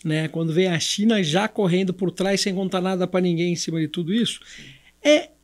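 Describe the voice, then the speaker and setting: A Brazilian man as a podcast guest, chatting casually and explaining with animated, repeated examples. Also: sits high, brisk. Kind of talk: casual chat.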